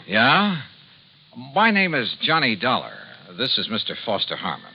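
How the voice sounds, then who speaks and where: A man's voice: medium pitch, huge pitch swings, moderate pace, husky, exaggerated voice. An American man playing a shrewd, cool insurance investigator in a 1950s radio detective drama, with a wry, clipped, colloquial delivery. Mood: probing. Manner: wry, clipped, colloquial, narrates as well as converses. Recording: muffled vintage radio recording, faint music.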